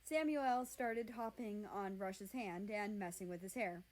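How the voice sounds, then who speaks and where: A woman's voice: mid-range voice, unhurried, a little mellow. A woman reading a scripted story aloud as its narrator. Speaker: reader of fan fiction aloud.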